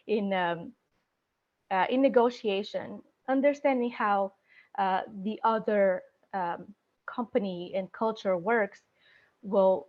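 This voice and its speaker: A woman working in procurement, speaking as an interview guest in a thoughtful, halting way with many fillers. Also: medium pitch, muffled recording. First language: not Spanish; speaks several languages. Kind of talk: career interview. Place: San Diego area.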